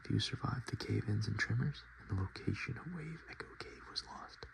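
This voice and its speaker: Whispering low-voiced man, ASMR host. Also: expressive, creaky. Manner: murmured, unhurried, casual and self-deprecating.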